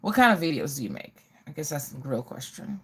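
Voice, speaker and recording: A woman's voice: low voice, very animated, brisk, slightly rough. A middle-aged woman hosting a casual get-ready livestream, chatting to viewers and often repeating her phrases.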